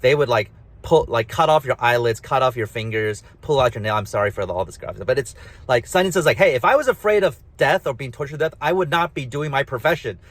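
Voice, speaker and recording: A man with a nasal, slightly mumbly voice talks casually on his own, full of fillers and emphatic asides. His pitch sits in the middle for a man. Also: lively intonation, fast, no room sound. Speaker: host. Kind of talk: rambling solo talk.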